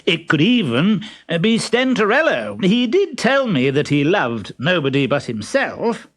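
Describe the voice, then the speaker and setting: A man's voice: sits high, very animated, unhurried, a bit bright, pitched above the performer's own voice, full character voice. A man voicing a cheerful young trickster lad in a children's storybook, light, teasing and good-humoured.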